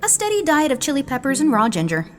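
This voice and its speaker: An actress playing a brisk, no-nonsense woman animal keeper in an audio drama, blunt and scolding. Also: high-pitched, huge pitch swings, a little hiss or hum. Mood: matter-of-fact.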